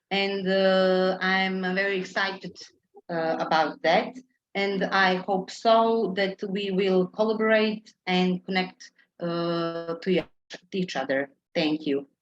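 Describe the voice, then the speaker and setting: A woman attorney from Montenegro, introducing herself on a video call in halting English, stopping often to search for words. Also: mid-range voice. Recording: echoey, dull video-call audio.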